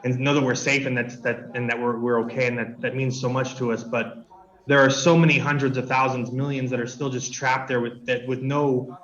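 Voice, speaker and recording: A man's voice: mid-range voice, little pitch movement, a bit bright. Urgent male guest on a muffled line. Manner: emotional, urgent, halting. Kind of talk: radio interview.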